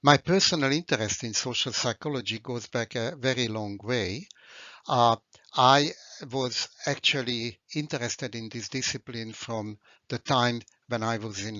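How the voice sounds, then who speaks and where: An older man from Hungary with a Hungarian accent, giving a formal, measured university lecture over slides. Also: medium pitch, bright, forceful tone, no room sound. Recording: dry, dull lo-fi recording.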